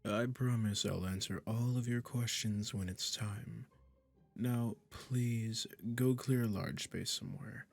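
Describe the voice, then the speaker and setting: A man's voice: lowish voice, steady tone, slow pace, gravelly, faint music behind, heavily acted. A man playing a possessive, sweetly menacing male witch in an intimate roleplay audio drama, coaxing and condescending with controlling endearments. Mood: coaxing, insistent.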